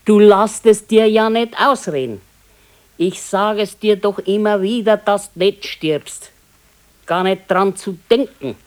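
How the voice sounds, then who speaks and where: An actress playing a practical, contented older wife in a naturalistic radio play, speaking matter-of-factly with a Bavarian accent. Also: female voice, mid-range voice, measured pace, a little mellow, natural voice. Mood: dismissive reassurance. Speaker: radio drama actor. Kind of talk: naturalistic 1970s radio play dialogue in dialect.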